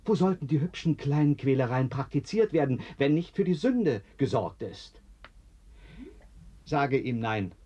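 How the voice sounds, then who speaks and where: An actor playing a brooding, probing octopus in a German radio play, with solemn, insistent theatrical delivery. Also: male voice, mid-range voice, animated, measured pace, warm tone, husky. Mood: insistent, preaching. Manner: theatrical, solemn, insistent, dropping to near whispers. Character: brooding octopus with eight arms, self-confessed freak, probing interrogator. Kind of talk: German radio play acting, literary dialogue. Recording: dull, muffled radio drama recording.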